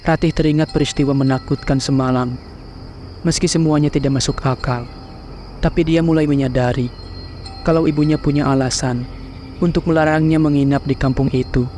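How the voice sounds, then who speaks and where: A man giving scripted narration for a horror cartoon in a steady, measured way. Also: fairly high pitch, a little hiss or hum.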